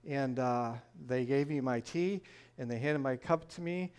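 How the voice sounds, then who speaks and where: A man's voice: mid-range voice, measured pace, darkish tone, clear, resonant tone. An older man from Michigan with a northern Michigan accent, telling his personal faith testimony in an earnest, storytelling way with frequent "uh"s. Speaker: church testimony speaker.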